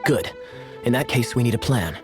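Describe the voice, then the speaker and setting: A man's voice: medium pitch, lively intonation, normal speed, music in the background, lightly acted. A man playing a composed, courteous knight envoy in a video game, polite and formal. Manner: polite, formal, smooth-talking.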